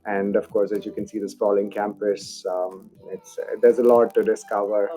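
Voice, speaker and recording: A man's voice: fairly low pitch, little pitch movement, moderate pace, mellow, poor audio. A young male student giving an unscripted testimonial with formal, wordy phrasing. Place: indoor office.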